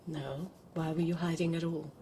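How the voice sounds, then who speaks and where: An actress playing a cool, guarded young nurse in a radio drama, dry and direct. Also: female voice, low-pitched, steady tone, normal speed, gravelly, dark tone. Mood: cool, dismissive. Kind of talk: naturalistic radio drama acting. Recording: radio drama with background noise.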